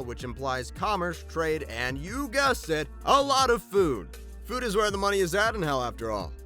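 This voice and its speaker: Male video narrator, high and bright, wry storyteller with a theatrical streak and joking asides. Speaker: video host.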